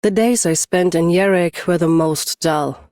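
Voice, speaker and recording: A woman's voice: fairly low pitch, a little slow, darkish tone, no echo, studio quality, close to an everyday voice. A woman playing a stoic, battle-hardened champion swordswoman and bodyguard in a video game, restrained and commanding. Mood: cool, reflective. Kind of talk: scripted game character voice lines.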